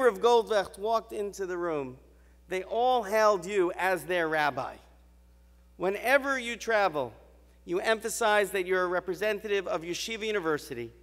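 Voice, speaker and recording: A man, a university president, giving a formal ceremonial address at a podium, measured and stately, with deliberate pauses. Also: high voice, lively intonation, a bit bright. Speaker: university president presenting an award. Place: large hotel ballroom. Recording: reverberant banquet hall.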